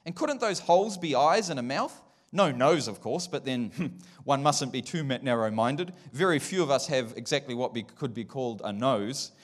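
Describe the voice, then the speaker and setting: A man voicing a thoughtful, prim female talking elephant in a storybook reading, polite and measured. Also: medium pitch, expressive, close to an everyday voice. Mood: prim musing.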